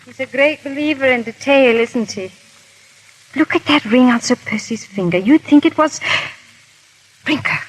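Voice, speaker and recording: A woman's voice: high-pitched, moderate pace. A woman playing a proud, guilt-ridden aristocratic wife in a theatrical, urgent 1930s radio drama style. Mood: curious. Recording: crackly, hissy 1930s radio recording.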